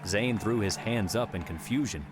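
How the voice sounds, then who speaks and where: A man narrating an audiobook in a measured, steady reading. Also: lowish voice, background noise. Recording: narration over background music. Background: music.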